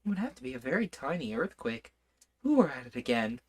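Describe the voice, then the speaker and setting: A man's voice: medium pitch, very animated, fairly quick, darkish tone, breathy edge. A man voicing a polite, curious young girl in a storybook read aloud, earnest and questioning.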